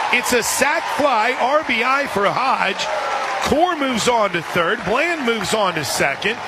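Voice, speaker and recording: A man with a fairly high voice calls softball live on the radio. He drops chatty asides between pitches, with a clearly audible stadium crowd and outdoor noise around him. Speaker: play-by-play announcer.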